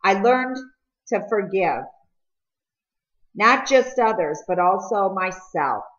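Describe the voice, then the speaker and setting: A woman's voice: mid-range voice, animated, takes it slowly. Earnest, remorseful teenage boy, read by a woman, muffled.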